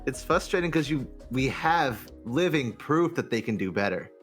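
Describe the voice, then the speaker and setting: Male podcast guest, mid-pitched, informal and opinionated gaming chat, light music underneath. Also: animated, moderate pace, fairly bright tone. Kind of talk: casual gaming discussion. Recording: faint music in the background. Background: faint music.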